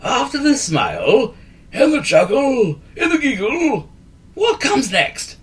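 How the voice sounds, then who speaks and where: A man doing a wheezy, booming fat wizard. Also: wildly swooping pitch, takes it slowly, exaggerated voice. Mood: cheerful. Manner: exclaiming, bossy, cheerful. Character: large fat excitable male wizard.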